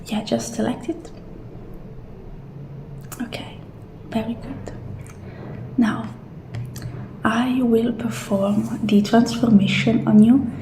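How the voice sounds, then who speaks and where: Slow, hushed ASMR woman in echoey room. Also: a little high, level tone. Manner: gentle, hushed attendant walking a client through options. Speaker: ASMR roleplay performer. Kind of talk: soft ASMR roleplay, part whispered. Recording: roomy echo, faint background noise.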